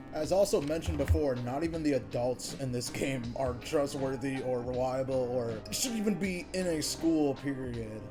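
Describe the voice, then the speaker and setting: A man giving casual, informal commentary on a video game as a reviewer. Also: mid-range voice. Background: music.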